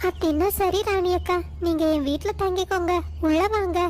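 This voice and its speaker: A woman voicing a polite, caring mother sparrow who gives respectful advice in an animated moral story. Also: extremely high pitch, moderate pace, voice pushed up. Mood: polite, concerned. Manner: respectful, gently advising.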